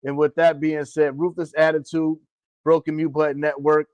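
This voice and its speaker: A man's voice: fairly high pitch, little pitch movement, moderate pace, darkish tone, no echo. A man co-hosting a casual wrestling podcast, talking bluntly with heavy slang and constant cursing. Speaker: podcast co-host. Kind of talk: casual profane chat about pro wrestling.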